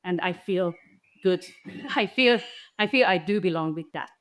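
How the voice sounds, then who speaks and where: Low-voiced reflective woman panelist from Vojvodina. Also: a little slow, a bit bright. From Vojvodina, Serbia. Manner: thoughtful, searching for words with fillers. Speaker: panelist and researcher. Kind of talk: reflective panel discussion answer.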